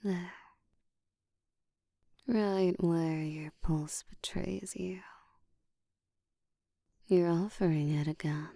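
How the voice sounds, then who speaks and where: Slow whispering seductive vampire woman, acted out. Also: lowish voice, fairly even intonation, dark tone, dry recording, studio quality, full character voice. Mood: teasing, commanding. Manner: breathy whispering, slow, deliberate, controlled and teasing. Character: dominant, seductive female vampire, slow and teasing. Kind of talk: whispered roleplay audio, ASMR.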